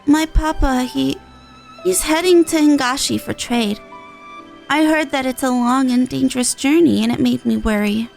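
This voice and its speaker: A woman's voice: high-pitched, creaky, a little mellow, poor audio. A woman voicing a worried, polite little girl in a fantasy game, speaking simply and a bit hesitantly. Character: worried, polite little girl who misses her papa.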